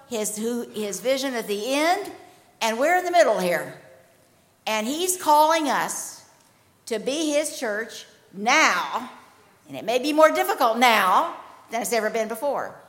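An older woman speaks to a congregation in an earnest, emphatic sermon style. Her voice sits fairly high. A long hall reverb trails after each phrase. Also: expressive, measured pace, fairly bright tone. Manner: earnest, emphatic, joking asides. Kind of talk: sermon-style panel hosting.

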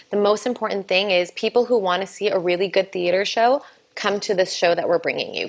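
A woman's voice: lowish voice, creaky. A woman who runs an arts festival, answering interview questions earnestly, with frequent restarts and fillers.

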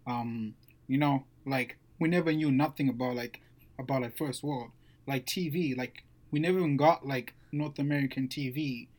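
A man's voice: mid-range voice, moderate pace, darkish tone. Young man from Zimbabwe, slangy and casual. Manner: casual slang, addresses friends as bro. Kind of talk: casual storytelling chat.

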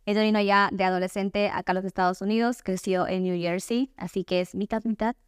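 A woman's voice: mid-range voice, steady tone, fairly quick, clean tone, no echo, studio quality. A woman with an Ecuadorian accent hosting a podcast in a conversational style. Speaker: podcast host.

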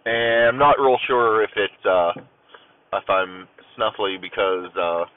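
A man from Ohio hosting his own podcast, rambling casually with many ums, stuffy and clearing his throat as if getting a cold. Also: lowish voice, a little slow, no room sound. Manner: rambling, many ums and uhs, self-deprecating. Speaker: podcast host. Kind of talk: casual solo podcast chat. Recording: tinny phone-like audio.

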